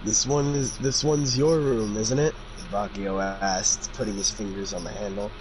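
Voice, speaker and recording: A man's voice: lowish voice, steady tone, normal speed, darkish tone. A young man reading fan fiction aloud casually and comically, breaking off into sweary asides. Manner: jokey, easily sidetracked, swears. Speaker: amateur fan fiction reader. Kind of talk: casual comedic read-aloud with joking asides. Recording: noisy amateur recording with room ambience.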